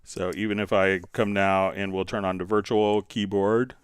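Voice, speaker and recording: A man hosting a casual software tutorial, thinking aloud and hesitating as he demonstrates. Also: lowish voice, level tone, moderate pace, music in the background. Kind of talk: casual screen-share software tutorial, live stream.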